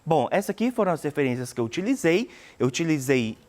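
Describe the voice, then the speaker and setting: A Brazilian woman teaching a recorded class. Her voice sits somewhat low but moves a lot in pitch as she explains, and she often pauses to check that her students are following. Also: big pitch swings, darkish tone, faint music behind. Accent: Brazilian Portuguese. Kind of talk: recorded online lesson.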